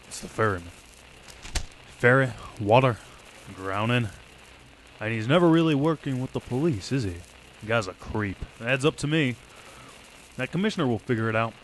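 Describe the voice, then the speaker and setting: A man's voice: mid-range voice, slight background noise, close to an everyday voice, rough recording. A man playing a plain-spoken working husband, a reformed crook, in an old-time radio drama.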